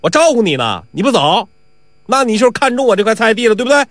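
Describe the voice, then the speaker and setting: A man's voice: high voice, very animated, fast, no echo, studio quality, pitched above the performer's own voice. A man voicing a young garden watchman in a storytelling piece, pleading or blustering with a hot temper and stumbling when flustered. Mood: angry, threatening.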